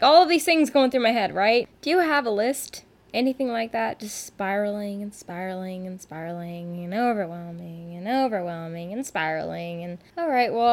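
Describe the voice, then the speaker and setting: A woman hosting her own solo podcast from her couch at home, talking in a chatty, rambling, candid way and often poking fun at herself. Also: mid-range voice. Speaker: podcast host and rock musician. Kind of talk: casual solo podcast monologue.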